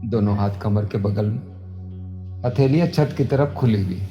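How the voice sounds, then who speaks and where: A man, a yoga instructor leading guided meditation in measured, repetitive instructions. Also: on the low side, dull audio. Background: new-age music.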